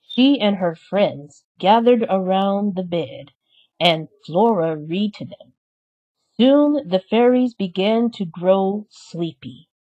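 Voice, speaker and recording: A woman reading a children's story aloud as scripted narration, in a deliberate manner. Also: medium pitch, lively intonation. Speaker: storybook reader. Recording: slightly dull, lo-fi sound.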